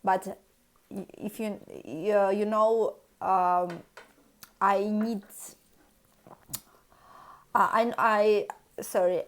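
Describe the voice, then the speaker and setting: Woman, Russian accent, very slow, hesitant, searching for words, lots of fillers. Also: mid-range voice, fairly even intonation. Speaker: vlogger. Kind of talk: halting casual talk in a second language.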